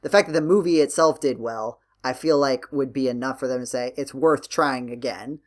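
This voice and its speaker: Halting male podcast host thinking aloud. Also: medium pitch. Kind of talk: casual podcast discussion.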